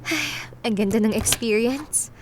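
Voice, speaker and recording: A woman playing a kind, composed doctor who was once a star, speaking gently and conversationally in a radio drama. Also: a little high, measured pace, music in the background, lightly acted. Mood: caring.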